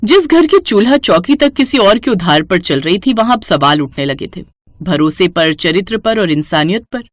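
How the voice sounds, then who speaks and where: A woman's voice: fairly low pitch, animated, normal speed, dry recording, clean studio sound. A woman reading a scripted moral story as the narrator.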